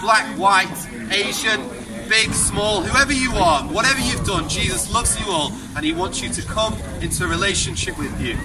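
High-voiced young man preaching outdoors. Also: normal speed, loud music behind the voice. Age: young adult. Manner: loud, emphatic, projecting to passers-by; asks the crowd repeated rhetorical questions. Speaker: street preacher. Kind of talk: open-air street sermon. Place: outdoor town square, busy pedestrian street. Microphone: far camera on a tripod. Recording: distant open-air recording, loud street noise. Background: loud street ambience and passing traffic.

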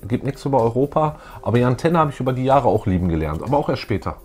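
A man's voice: medium pitch, sing-song, racing, a little mellow. A middle-aged man, chatting casually as a co-host in a fan show. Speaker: YouTube co-host. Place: small room full of collectibles, indoors.

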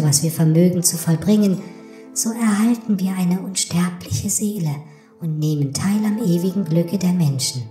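A woman playing a gentle spirit of the air in a fairy tale audiobook, speaking solemnly with a ghostly echo. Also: lowish voice. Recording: echoing reverb, soft music behind.

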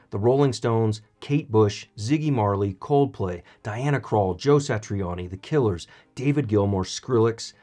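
Low-pitched man narrating a review. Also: a little mellow. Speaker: product reviewer and channel host.

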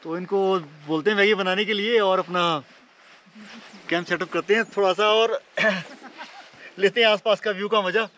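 A joking, chatty man talks to his camera on a forested hillside, his voice sitting fairly high for a man. He addresses viewers as friends in a relaxed, casual vlog. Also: a little slow, a little hiss or hum. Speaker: travel vlogger. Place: outdoors on a forested mountainside.

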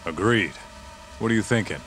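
An actor playing a cocky, wisecracking streetwise sidekick in a video game, talking in casual, slangy, foul-mouthed style. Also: male voice, lowish voice, moderate pace, fairly bright tone, slight background noise, close to an everyday voice, rough recording. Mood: curious, excited. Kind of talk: video game cutscene acting.

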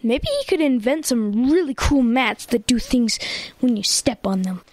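A sassy, confident daughter with a head for business in a family radio comedy, played by a woman. Her voice is high and very animated, with blunt delivery and a dry, close sound. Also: moderate pace, dry recording. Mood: businesslike.

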